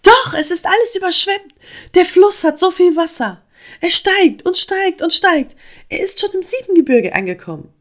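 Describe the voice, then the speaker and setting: Woman voicing an excitable, high-pitched storybook frog. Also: normal speed. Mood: agitated. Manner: insistent, exclaiming, agitated. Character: excitable, urgent male frog friend in children's story.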